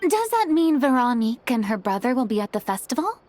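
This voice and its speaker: A woman's voice: on the high side, very animated, brisk, darkish tone, a little hiss or hum. A woman voicing an earnest, polite young film-crew member in a video game. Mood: earnest, concerned.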